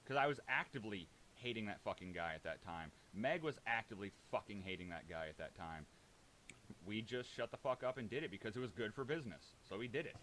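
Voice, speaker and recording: A man's voice: lowish voice, lively intonation, fast talker, a bit bright. Male, combative and defensive, profane informal argument, faint hiss on the recording. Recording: hissy background noise.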